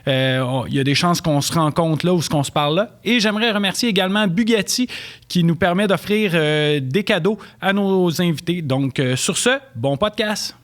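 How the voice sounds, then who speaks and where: Podcast host with a higher voice. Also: male voice, expressive, a little hiss or hum. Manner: friendly, joking, quick laughs. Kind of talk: casual podcast interview.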